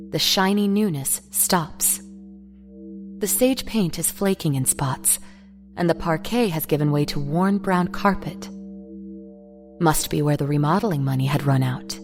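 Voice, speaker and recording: A woman narrator reading a scripted dramatic story in the third person. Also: deep voice.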